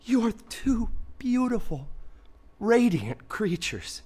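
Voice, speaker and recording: High-pitched, theatrical dying believer. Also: male voice, sing-song, takes it slowly, a little mellow, slight background noise, full character voice. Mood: joyful wonder. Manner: melodramatic, exclamatory, wailing and gushing by turns. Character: dying man of faith in desert, theatrical, swings panic to wonder. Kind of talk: comic audio play acting.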